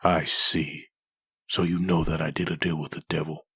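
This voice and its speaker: A man playing a gruff, confused undead antihero with a husky, gravelly voice in a comic dub. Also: a bass voice, little pitch movement, normal speed, fairly bright tone, no room sound, studio quality, exaggerated voice. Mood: irritated, baffled.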